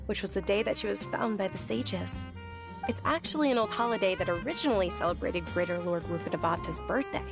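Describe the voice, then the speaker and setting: A woman voicing a gentle, earnest young woman who speaks in a soft, reflective way in a video game. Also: mid-range voice, gravelly, lightly acted. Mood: explaining. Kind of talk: game voice acting.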